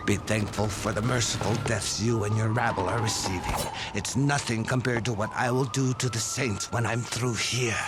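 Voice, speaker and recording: An actor playing a proud, dangerous crime figure with a gravelly voice in a video game cutscene. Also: male voice, fairly low pitch, fairly even intonation, moderate pace, loud music behind the voice, lightly acted. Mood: contemptuous, threatening.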